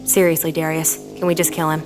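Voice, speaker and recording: A woman's voice: deep voice, level tone, fast talker, a little mellow, soft background music, natural voice, low-quality recording. A woman playing a sarcastic, impatient young mercenary priest in a fantasy audio drama. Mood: exasperated. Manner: sarcastic, exasperated.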